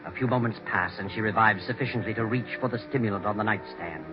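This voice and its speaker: A man performs a foreboding male narrator from a 1940s radio thriller. The voice is low and measured, full of grave drama. Music plays clearly behind it on a dull vintage recording. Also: lightly acted. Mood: ominous, grave.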